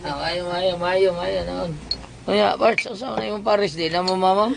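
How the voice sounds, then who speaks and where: A man's voice: high voice, steady tone, a little slow, creaky, noisy background. A man playing a slangy drinking buddy coaxing a friend in a comedic radio drama.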